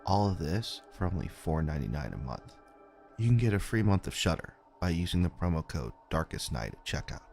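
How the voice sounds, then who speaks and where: A man's voice: low-pitched, sing-song, fairly quick, darkish tone, soft background music. A man reading a scripted sponsor advertisement. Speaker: ad announcer.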